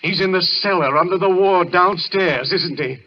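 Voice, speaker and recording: An actor playing a deranged, embittered former surgeon held in an asylum, obsessive, repetitive and accusing, in an old radio thriller. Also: male voice, sits high, normal speed, close to an everyday voice. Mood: accusing, agitated. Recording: muffled, noisy vintage radio recording.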